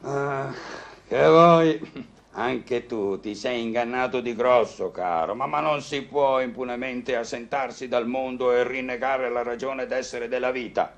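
An actor playing a teasing, insistent doctor and confidant in a vintage Italian radio comedy, theatrical and emphatic. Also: male voice, medium pitch, measured pace, lo-fi sound, close to an everyday voice. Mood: sympathetic, emphatic.

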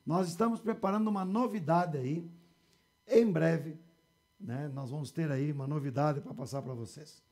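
A man's voice: a little high, normal speed, mellow. A man in his forties with a gaúcho accent from southern Brazil, hosting a local studio show and chatting casually with viewers. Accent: Rio Grande do Sul gaúcho Portuguese. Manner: chatty, sprinkles "tá?" and "né?". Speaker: TV show host. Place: small TV studio with banners.

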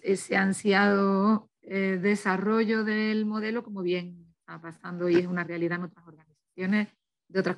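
A woman gives a prepared conference talk haltingly, slightly muffled by a face mask. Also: mid-range voice. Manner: halting, with repeated words and pauses. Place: small office, indoors. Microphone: video call computer microphone, through mask. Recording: dull lo-fi video-call audio.

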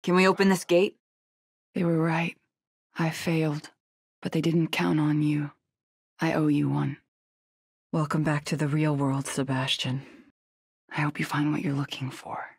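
A woman's voice: low-pitched, little pitch movement, a little slow, long pauses, husky, no room sound, full character voice. Young woman agent, tough, guarded, terse, sardonic, horror game acting, dry studio sound. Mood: anxious.

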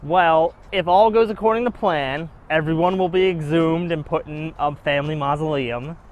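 A man's voice: a little high, moderate pace, dull audio. A young man hosting a casual vlog outdoors, chatting and joking on a handheld camera. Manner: chatty, joking, gives spookiness ratings. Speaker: vlog host. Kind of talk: casual chat. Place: outdoors in a sunny cemetery. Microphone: handheld camera on a gimbal.

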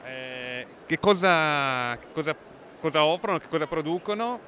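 Bright-voiced male interviewer. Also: a little high, moderate pace. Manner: rambling, reformulating questions. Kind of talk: interview questions. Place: busy indoor trade fair hall. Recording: faint background noise.

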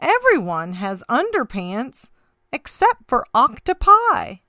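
A woman's voice: a little high, slow, dry recording, clean studio sound, full character voice. A woman voicing a puzzled male octopus telling his tale in sing-song picture-book rhyme. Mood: forlorn, frustrated. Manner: expressive, sing-song rhyming delivery. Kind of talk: rhyming picture-book read-aloud.

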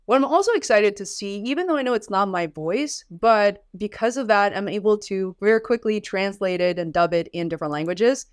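A woman's voice: medium pitch, animated, fairly quick, hardly any pauses, dry recording. Tutorial host, woman in her thirties, native Mandarin speaker, upbeat and chatty, dry close mic. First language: Mandarin Chinese. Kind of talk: software tutorial. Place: home studio room.

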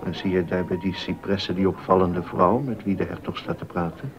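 A male actor plays a gossipy court musician in a period drama. His voice sits fairly low and he confides with dry sarcasm, phrasing things neatly. The recording is a little muffled. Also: steady tone, a little fast, a crowd in the background, lightly acted. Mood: gossipy, confiding. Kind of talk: Dutch-language period docudrama acting. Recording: dull, muffled audio.

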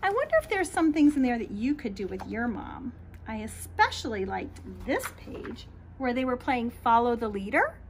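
A middle-aged American woman reading a picture book aloud to young children in an expressive, sing-song way, outdoors on a patio. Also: on the high side, moderate pace. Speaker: children's read-aloud host, teacher. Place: small backyard patio, outdoors. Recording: light outdoor background noise.

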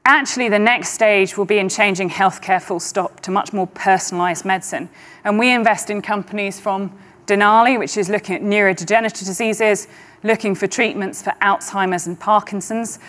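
Middle-aged woman on a conference stage, calm persuasive pitch, mid pitched, steady. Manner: measured, confident, persuasive delivery. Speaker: investment trust client director presenting. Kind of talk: conference presentation. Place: large conference auditorium stage.

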